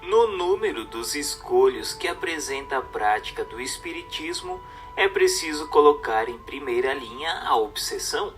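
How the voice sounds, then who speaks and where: A man reads a book as a scripted narration, in a measured, didactic way. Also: medium pitch, lively intonation. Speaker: audiobook narrator. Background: music.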